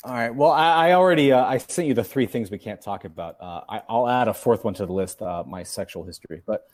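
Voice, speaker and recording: A man's voice: lowish voice, quick pace, a little mellow. A man in his thirties, a guest on a casual podcast, talking in an earnest, chatty way and explaining things at length. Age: 30s.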